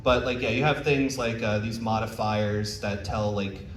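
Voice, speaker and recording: A man's voice: on the low side, steady tone, unhurried. A man presents at a convention panel in an informal, encouraging manner. He is modest about himself and casual in his phrasing. The recording is echoey and slightly distant, with faint noise behind him. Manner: casual, self-deprecating, encouraging. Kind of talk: informal technical presentation with audience questions. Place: indoor convention panel room.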